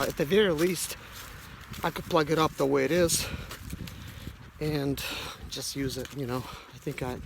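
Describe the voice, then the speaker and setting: Hoarse male mechanic, 30s, gravelly voice, outdoor phone recording with noise behind. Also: a little high. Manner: thinking aloud while working, many fillers. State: hoarse, losing his voice. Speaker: mobile mechanic. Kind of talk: casual how-to vlog. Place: outdoors in a rural yard by a van. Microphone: phone camera held close.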